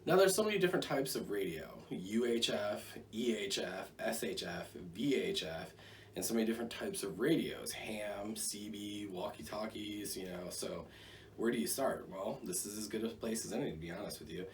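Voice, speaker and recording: A man's voice: fairly low pitch, normal speed. An American man giving a rambling, conversational product review with jokey asides. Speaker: gear reviewer. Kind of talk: casual product review to camera. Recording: distant mic, faint background noise.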